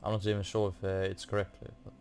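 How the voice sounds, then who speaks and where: A man's voice: sits low, completely flat delivery, fast, darkish tone. A man giving casual gameplay commentary, thinking aloud in a hesitant, muttering way. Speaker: gamer playing through a quest.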